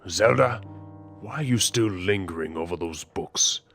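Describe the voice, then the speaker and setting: Deep, stern king acted by a man. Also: a bit bright. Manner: formal, commanding, measured. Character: stern, dutiful middle-aged king and burdened father. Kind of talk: audio drama acting. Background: music.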